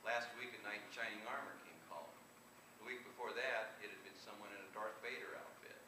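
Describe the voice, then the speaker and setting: A man with a fairly low voice gives a live author reading, picked up from across an echoey room. He reads light, humorous fiction aloud and now and then trips on a word and repeats it. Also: fairly quick. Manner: stumbles over and repeats words while reading. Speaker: author reading own short story. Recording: echoey distant live room recording, noisy.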